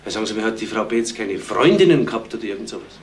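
An actor playing a courteous, slightly wry police interviewer in a radio crime drama. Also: male voice, lowish voice, animated, very fast, gravelly, far from the mic. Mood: courteous questioning. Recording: roomy, off-mic, faint noise.

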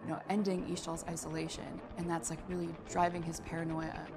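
A woman's voice: on the low side, level tone, brisk, vocal fry, background noise. A woman in her thirties speaking earnestly and reflectively as a game developer in a filmed interview. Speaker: game lore developer in a dev video.

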